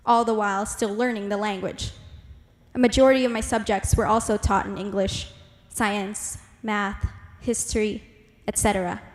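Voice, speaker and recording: A teenage girl from the Philippines whose first language is Ilonggo, giving an earnest, measured prepared presentation as a student speaker. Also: medium pitch. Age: teens. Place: large hall. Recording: echoey hall with long reverb.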